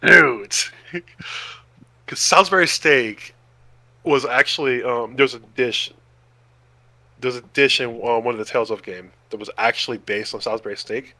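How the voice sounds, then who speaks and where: A man co-hosting a podcast in a casual, enthusiastic way. His voice is bright and forceful at a middle pitch, and he often breaks into exclamations. The sound is dry, with very little room on it. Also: moderate pace, long pauses, no echo, low-quality recording. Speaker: podcast co-host. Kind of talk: casual chat about food.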